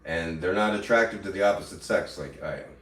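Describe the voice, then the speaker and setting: A man livestreaming an angry, profane rant that taunts his critics, now and then mimicking them in a shrill mocking voice. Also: a little fast, a bit bright. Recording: echoey room, voice from far off the mic.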